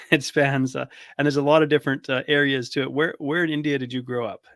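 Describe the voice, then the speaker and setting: A man's voice: medium pitch, fairly even intonation, fairly quick, fairly bright tone, dry recording, clean studio sound. A man hosting a casual interview, asking curious, tentative questions and acknowledging the answers often. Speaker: podcast host.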